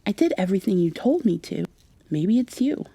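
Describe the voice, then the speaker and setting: A woman in an ASMR roleplay playing a gentle, wry young witch who coaxes her plants in a soft-spoken way. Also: mid-range voice, sing-song, brisk, husky, no room sound. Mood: wry, coaxing.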